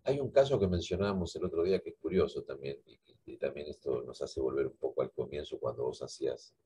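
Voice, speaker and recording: A man's voice: rumbling low, quick pace, dark tone, slightly rough. A man, speaking reflectively on a video call from a library cubicle and repeating words as he thinks. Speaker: guest translator. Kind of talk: conversational discussion.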